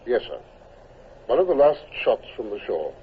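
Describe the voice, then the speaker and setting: Actor as a dutiful naval officer, tinny radio. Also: male voice, mid-range voice, very animated, moderate pace, lightly acted. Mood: respectful. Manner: deferential, formal. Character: dutiful, respectful male naval captain, second to the commander. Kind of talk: 1950s radio drama acting. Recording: tinny, noisy old radio recording.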